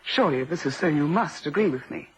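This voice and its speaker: An actress playing a prim, frank and defensive secretary in a vintage radio drama, speaking formally and crisply clipped. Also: female voice, deep voice, animated, fast, a little hiss or hum. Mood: indignant, cutting.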